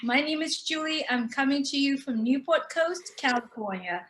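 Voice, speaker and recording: Bright, bubbly woman hosting a show. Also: high voice, lively intonation, moderate pace, slight background noise. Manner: upbeat, gushing welcomes. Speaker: show host. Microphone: video call.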